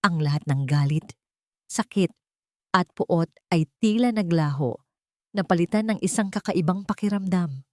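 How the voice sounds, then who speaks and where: Lady narrator doing dramatic story reading, lowish voice, very dry recording. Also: normal speed, dry recording.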